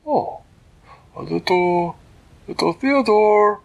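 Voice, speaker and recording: Man doing a high, timid storybook girl. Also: lively intonation, slow, gravelly, lo-fi sound, exaggerated voice. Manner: timid, earnest. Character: timid young girl character.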